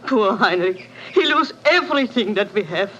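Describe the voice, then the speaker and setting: A woman's voice: mid-range voice, expressive, normal speed, fairly bright tone, slight background noise, close to an everyday voice. A woman playing an anxious, grieving German wife with a German accent and broken English, in 1940s radio style. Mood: anguished. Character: anxious, pleading German wife, grief-stricken. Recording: dull old radio recording.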